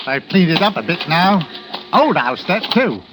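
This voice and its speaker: A chatty working-class London man gossips in a folksy way. His voice is high and very lively over background music. It sounds like an old radio play. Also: normal speed, poor audio. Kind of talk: 1940s radio drama acting.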